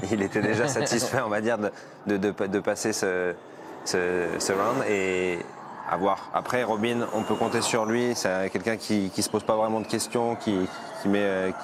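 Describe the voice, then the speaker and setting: A low-voiced man breaks down the racing as a live pundit. His speech is relaxed but halting, full of repeated words. Noise from the live broadcast is clearly audible behind him. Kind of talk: live race analysis. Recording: noisy live broadcast feed.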